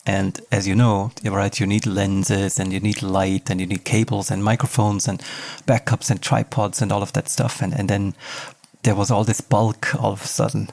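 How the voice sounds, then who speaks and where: A man's voice: on the low side, little pitch movement, moderate pace, vocal fry, darkish tone, no echo. A man speaking conversationally as the host of an interview podcast.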